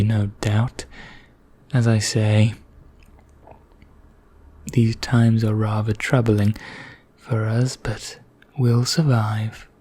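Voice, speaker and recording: A man playing an ancient, haughty vampire knight in an ASMR roleplay, speaking softly and half in whispers, formal and measured with deliberate pauses. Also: on the low side. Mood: wistful, disdainful. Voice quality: soft, breathy, half-whispered, husky. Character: ancient male vampire knight, haughty, languid, darkly courteous.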